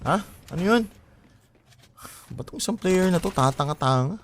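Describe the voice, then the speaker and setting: Evasive Filipino boyfriend, faint background noise. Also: male voice, on the high side, expressive, moderate pace. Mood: absorbed, annoyed. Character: distracted, evasive young gamer boyfriend. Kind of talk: casual radio drama dialogue.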